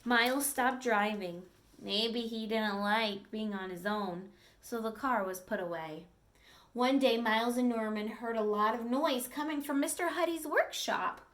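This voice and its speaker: A woman teacher reading a picture book aloud to children, with expressive storytelling and friendly asides to her listeners. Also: a little high, a little slow.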